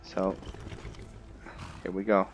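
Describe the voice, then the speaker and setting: Very deep-voiced man, slow and musing. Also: level tone, dull audio, soft background music. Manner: musing, thinking aloud in fragments. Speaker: gaming video host roleplaying a character. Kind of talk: casual gameplay narration with roleplay.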